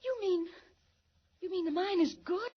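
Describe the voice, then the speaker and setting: A very high-voiced young woman in distress, earnest and excitable, in 1940s radio serial style. The recording is muffled and old. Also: measured pace. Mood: amazed, earnest.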